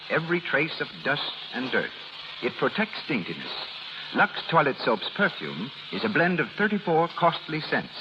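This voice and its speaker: A man working as a 1930s radio announcer, reading scripted advertising with a polished, salesmanlike delivery. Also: mid-range voice. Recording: muffled, noisy vintage 1930s radio broadcast.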